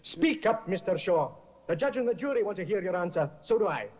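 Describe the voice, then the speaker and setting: A polished defense barrister who questions with measured, courtly precision. His voice sits a little high for a man. It comes through a dull, lo-fi old radio broadcast. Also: lively intonation. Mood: cross-examining. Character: shrewd, polished male defense barrister, middle-aged. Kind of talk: 1950s radio drama acting.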